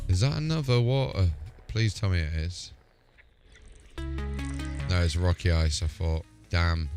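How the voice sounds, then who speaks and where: A man's voice: low-pitched, a little slow, darkish tone, soft background music. A man chatting casually and informally as a live game streamer, in a matey way with the odd mild swear. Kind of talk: casual gaming livestream chat.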